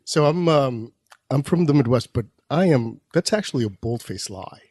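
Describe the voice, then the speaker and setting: A man's voice: medium pitch, animated, a little mellow, no echo, clean studio sound. A man from the Dominican Republic asking a question at a live podcast, hesitant and careful with his words. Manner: hesitant, halting, careful phrasing. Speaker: audience member asking a question.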